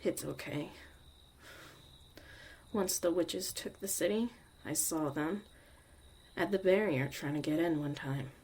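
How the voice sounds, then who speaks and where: A woman acting as a young witch mother in an ASMR roleplay, speaking slowly in a lowish voice. She is a tough, protective survivor with a wry, caring streak, confiding in a halting way. Some noise sits behind her. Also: darkish tone. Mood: bitter, wry. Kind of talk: intimate ASMR roleplay monologue.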